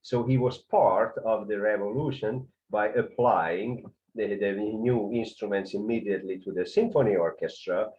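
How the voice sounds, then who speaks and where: A man with a Hungarian accent, a conductor giving a hesitant lecture full of fillers, rising in pitch on key words. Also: moderate pace, darkish tone, dull audio.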